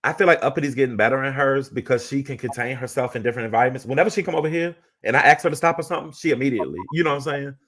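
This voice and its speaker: A man with a deep voice hosting a casual chat, talking in a laid-back, rambling way. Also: rapid-fire, hardly any pauses, fairly bright tone. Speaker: host.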